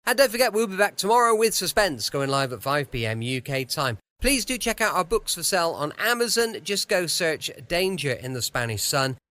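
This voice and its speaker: Brief: male podcast host, bright forceful tone, fairly high and lively, upbeat sign-off. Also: moderate pace, few pauses. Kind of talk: upbeat scripted podcast outro.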